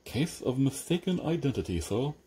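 A man voicing a calm, deferential, stitched-together manservant who answers dryly and patiently. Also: mid-range voice, moderate pace, voice pushed up, close to an everyday voice. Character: unflappable, deferential stitched-together male servant. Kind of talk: audiobook character reading.

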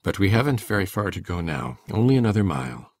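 Storybook old farmer , voiced by a woman: gruff, gravelly, animated pitch, shy and slow to find his words. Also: full character voice. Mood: gentle, awkward. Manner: hesitant, plain-spoken. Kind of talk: dramatic audiobook reading.

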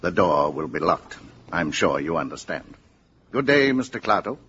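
An actor playing an apologetic, diplomatic government official in a 1950s radio drama, courteous, formal and a little hesitant. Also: male voice, deep voice, big pitch swings, normal speed, fairly bright tone. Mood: apologetic, firm. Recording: dull, hissy 1950s radio recording.

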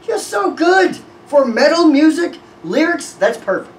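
A young man hosting a music reaction, talking casually with excited, profane, rambling praise. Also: extremely high pitch, unhurried, distant mic. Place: small room with red backdrop. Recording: echoey room, voice a bit off-mic, faint noise.

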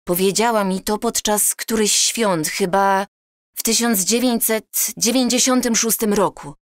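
An actress playing an earnest, courteous and insistent time traveller in an audio drama. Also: female voice, mid-range voice, measured pace, no echo, clean studio sound, close to an everyday voice. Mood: earnest, pleading. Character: earnest, pleading granddaughter from the future.

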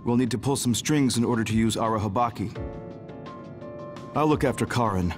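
A man playing a composed, dutiful strategist in an anime game dub, calm and measured. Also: lowish voice, over music, close to an everyday voice.

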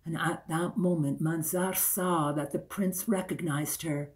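Male storyteller, 60s, unhurried and deliberate delivery, theatrical folktale narration. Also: fairly high pitch, steady tone. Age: older, 60s. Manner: deliberate, repeats phrases for effect. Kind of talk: dramatic oral folktale storytelling. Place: small room indoors by a window.

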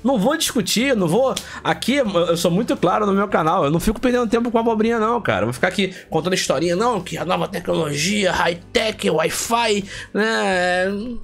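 A man's voice: high voice, animated, a little fast, creaky, a bit bright, soft background music. A man hosts a casual tech vlog, congested with a heavy cold, speaking emphatically and colloquially and repeating phrases for effect. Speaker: tech YouTube channel host.

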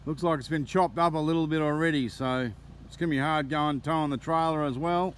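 A man's voice: fairly high pitch, fairly even intonation, a little fast. A middle-aged Australian man narrating a casual vlog into a phone outdoors, chatty and cheerful. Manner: chatty, cheerful, cries of yeehaw. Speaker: vlogger. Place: outdoors on a windy beach and in a car. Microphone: phone held at arm's length. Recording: loud wind noise on the phone mic.

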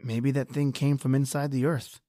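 A woman's voice: rumbling low, flat delivery, normal speed, mellow, no echo, clean studio sound. A woman playing a frightened, injured man hiding in a tunnel, stammering and panicky, in an old-time radio drama. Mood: tense, hushed.

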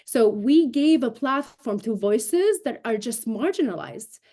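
A woman's voice: fairly high pitch, lively intonation, measured pace, warm tone. A woman in her thirties from Syria, a native Arabic speaker, explaining things emphatically and articulately as an interview guest on a video call. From Syria, raised in Damascus. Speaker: interview guest, broadcast journalist and show host. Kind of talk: remote news interview, explanatory talk. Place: indoor room, video call.

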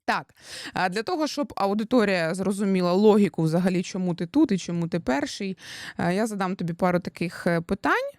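A woman's voice: medium pitch, expressive, brisk, darkish tone, dry recording, clean studio sound. A young woman hosting her husband in a casual, teasing interview, chatty and playful, mixing in Russian words.

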